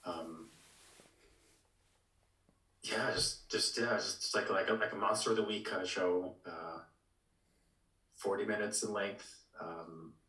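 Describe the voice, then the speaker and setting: Deep-voiced man in an echoey room. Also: fairly even intonation, sharp tone, distant mic. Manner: halting, repeats words as he thinks. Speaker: podcast co-host. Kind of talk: casual chat, pitching ideas. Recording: roomy, echoey, away from the mic.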